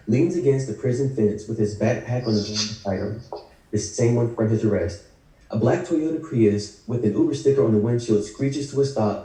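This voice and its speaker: A man's voice: medium pitch, warm tone. A man reading screenplay action lines as the narrator of a table read. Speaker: script narrator. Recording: very echoey room, distant mic.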